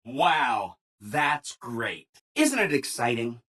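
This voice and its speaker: A man's voice: mid-range voice, very animated, slow, pushed and bright, full character voice. A man playing a relentlessly cheerful, servile robot assistant in a video game, chirpy and over-agreeable, with exaggerated enthusiasm. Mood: gushing, excited. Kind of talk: scripted video game dialogue.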